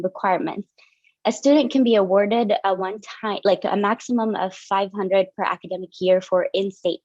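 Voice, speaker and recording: A woman's voice: mid-range voice, a little mellow, dry recording. A young woman from Syria, a student finance officer, explains funding policies on a video call in a casual way with many fillers. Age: young adult. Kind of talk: presenting over a video call. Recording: dull lo-fi video call audio.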